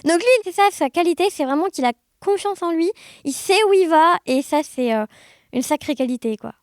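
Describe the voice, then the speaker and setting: A woman chatting casually as an interview guest, telling anecdotes in an animated, conversational way. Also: sits high, brisk, no room sound, clean studio sound. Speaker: podcast guest, blind guide dog user sharing experiences.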